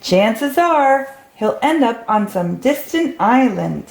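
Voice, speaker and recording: A woman's voice: a little high, sing-song, slow, gravelly, a little mellow. A woman reading a storybook aloud to young children. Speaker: storybook reader. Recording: roomy, echoey recording.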